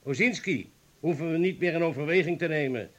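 An actor playing a grave, resolute comrade burdened by guilt, speaking deliberately in a radio play. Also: male voice, on the high side, a bit bright. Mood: grave, resigned.

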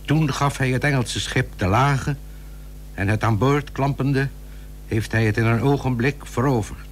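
A man playing a solemn chronicler, narrating a historical radio drama deliberately from a script. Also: medium pitch, natural voice. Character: solemn chronicler of old naval battles. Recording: old radio recording with faint hum.

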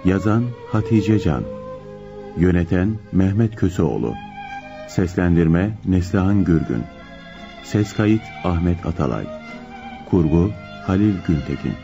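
A man's voice: low-pitched, lively intonation, a little slow, creaky. A man reading scripted radio drama credits as an announcer. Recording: dull lo-fi radio recording, music behind. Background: prominent music with strings.

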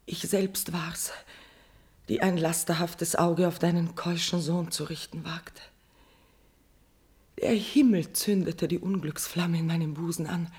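An actress playing a guilt-ridden dying queen in a classical German radio tragedy, speaking solemn declamatory verse in a breathy voice. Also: lowish voice, a little mellow, heavily acted. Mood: grave, confessing.

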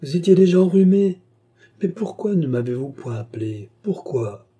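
A man's voice: fairly high pitch, lively intonation, normal speed, warm tone, slightly rough, pitched above the performer's own voice. A man voicing a devoted, fussy fifty-year-old maidservant from a storybook, exclaiming and scolding with deference. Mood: alarmed, fussy. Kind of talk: audiobook character dialogue.

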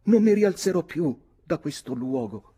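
A man's voice: on the high side, very animated, measured pace, mellow, husky, pitched above the performer's own voice, exaggerated voice. A man reading an audiobook, voicing a young woman who gasps and wails that she is dying. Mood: melodramatic. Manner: gasping, wailing.

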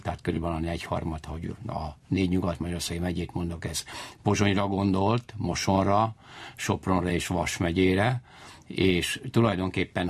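A male historian with a low, creaky and husky voice gives explanations in an interview. He lectures in a digressive way, often circling back to rephrase. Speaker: historian guest and university lecturer.

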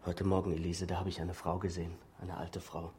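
An actor playing a blunt, earnest young man in a radio drama, with a low, husky voice. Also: completely flat delivery, fairly quick, warm tone, a little hiss or hum, close to an everyday voice. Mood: quietly insistent. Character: blunt, urgent young man pleading with a woman.

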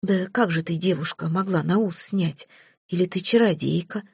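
A woman voicing a calm steady warrior. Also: lowish voice, a little fast, gravelly, breathy edge, dry recording. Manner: calm, persuasive. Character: calm, steady male warrior, trusted retainer.

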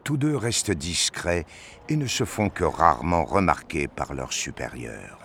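A man's voice: deep voice, lively intonation, unhurried, fairly bright tone, slight background noise. A man narrating a scripted science fiction audio drama in the manner of a storyteller.

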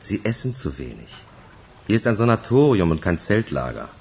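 An actor playing a concerned, reproachful sanatorium doctor in a German radio crime drama, husky and paternal. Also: male voice, sits low, very animated, moderate pace, darkish tone, a little hiss or hum, heavily acted.

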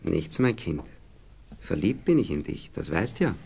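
A man's voice: sits low, very animated, unhurried, gravelly, mellow, breathy edge, heavily acted. An actor playing a smug, moralizing husband in a radio play, with an Austrian accent, talking down to his young wife as he gropes for words. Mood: tender, musing. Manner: patronizing, hesitant, groping for words.

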